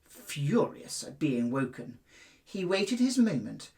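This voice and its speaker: A middle-aged man with a British accent, speaking as a headmaster to children in an expressive, carefully phrased storytelling style. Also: fairly high pitch, slow pace. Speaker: school headmaster reading to pupils.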